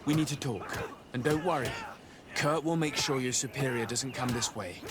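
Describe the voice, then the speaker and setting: A man playing a calm, diplomatic adventurer in a video game cutscene, with a measured, polite, earnest delivery. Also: medium pitch, noisy background, close to an everyday voice. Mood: calm, probing. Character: calm, diplomatic male adventurer investigating abuses.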